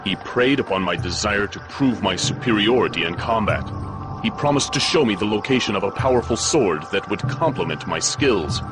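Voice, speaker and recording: A man's voice: on the low side, lively intonation, moderate pace, over music, natural voice, poor audio. A male actor playing a grim, proud, vengeful blind swordsman in a fighting game, grave and formal. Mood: somber. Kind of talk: fighting game cutscene acting.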